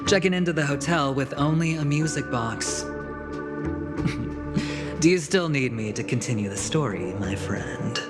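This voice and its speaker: An actor voicing a sly, smug gambler in a video game, telling a tale with teasing, dramatic pauses. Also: male voice, medium pitch, natural voice. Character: sly theatrical male gambler, smug schemer spinning a tale. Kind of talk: video game voice acting, theatrical storytelling. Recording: long reverb, loud game music behind.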